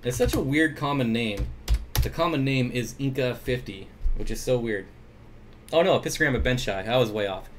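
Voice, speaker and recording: A man hosts a home aquarium livestream from his desk mic, chatting loosely and reading out viewer comments between jokey asides. His voice sits in a middle pitch, and a faint hum of room noise runs underneath. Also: normal speed. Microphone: desk mic at computer.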